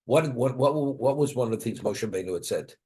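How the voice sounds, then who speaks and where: A man gives a Torah class at a mid-range pitch. He keeps asking rhetorical questions and answering them himself, dropping Hebrew and Aramaic phrases into his English. He thinks aloud, repeating words and restarting as he goes. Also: animated, a little fast, mellow. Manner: mixes Hebrew and Aramaic terms into English explanation. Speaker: Torah teacher.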